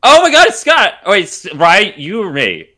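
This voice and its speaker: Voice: mid-range voice, huge pitch swings, normal speed, bright, forceful tone. A person on a video call chatting casually at a table read, incredulous and sweary as they joke. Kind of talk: casual joking chat. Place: home room, remote video call.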